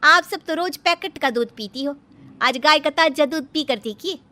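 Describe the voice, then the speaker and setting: A woman's voice: high voice, normal speed, sharp tone, voice pushed up. A woman voicing a plucky young village daughter-in-law in a cartoon story, earnest and rustic in manner. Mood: persuasive. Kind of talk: Hindi cartoon story acting.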